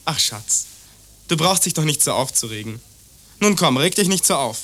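An actor playing an obtuse, prejudiced young lover in a radio play, condescending and evasive. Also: male voice, fairly high pitch, animated, normal speed, bright, forceful tone. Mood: placating. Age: young adult. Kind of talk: German radio play dialogue.

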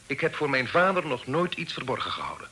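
Earnest young gentleman, bright voice. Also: mid-range voice, big pitch swings, fast talker. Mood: earnest, principled. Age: young adult. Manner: earnest, formal, sometimes hesitant. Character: honest, dutiful, polite young gentleman in a period tale. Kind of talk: radio drama acting. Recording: noisy old radio drama recording.

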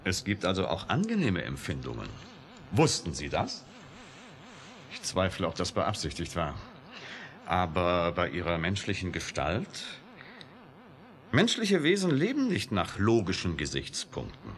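An actor playing a provocative, sardonic scientist in a radio drama, teasing and needling with a defiant, flirtatious streak. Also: male voice, low-pitched, wildly swooping pitch, measured pace, pauses often, a bit bright, heavily acted. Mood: teasing, probing. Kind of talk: 1980s German radio drama acting.

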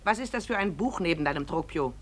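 An actress playing a sour, prim older wife in a radio play, nagging and scornful. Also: female voice, lowish voice, animated, fast.

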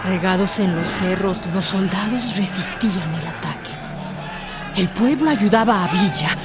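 A woman's voice: medium pitch, normal speed, natural voice. An actress playing a plain-spoken Mexican townswoman who gives reflective testimony of the revolution in a radio drama. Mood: reminiscing. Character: townswoman witness fondly recalling the revolution. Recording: loud music bed under voice.

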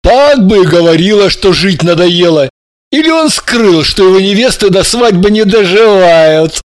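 A man's voice: sits high, lively intonation, normal speed, dry recording. A man voicing a cocky, condescending young man with a smug drawl, in an audiobook. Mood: mocking.

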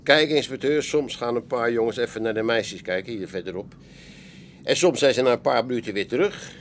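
A man's voice: mid-range voice, fairly quick, a little hiss or hum, natural voice. Streetwise pub landlord, acted by a man. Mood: explaining. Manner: colloquial, hesitant asides, knowing. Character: male Amsterdam pub landlord, streetwise, chatty, unbothered. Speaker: café owner. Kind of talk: Dutch radio play acting.

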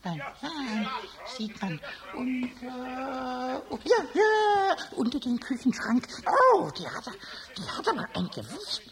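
A man playing a cheeky, stubborn, childish little kobold in a children's radio play, squeaky and raspy, excitable, stammering and repeating words. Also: slow pace, full character voice. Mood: sneaky, straining.

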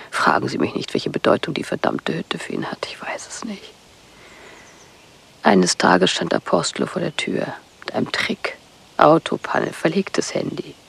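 A husky, whispering, embittered wife. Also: female voice, low voice, exaggerated voice. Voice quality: husky, breathy, often near whisper. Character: bitter, hunted wife in a psychiatric clinic. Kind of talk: radio play dialogue.

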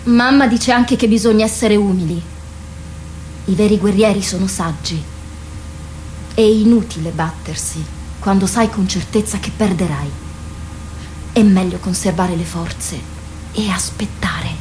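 An actress playing a boastful, protective older sister warrior in a radio drama, teasing and admonishing. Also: female voice, medium pitch, a little slow, pauses often, darkish tone, slight background noise, natural voice, low-quality recording.

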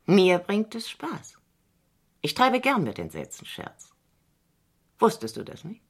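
A woman playing a jealous, scheming wife who toys mockingly with her husband in a German radio crime play, theatrical and husky. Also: mid-range voice, wildly swooping pitch, slow pace, heavily acted. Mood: menacing, amused. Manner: taunting, theatrical, teasing.

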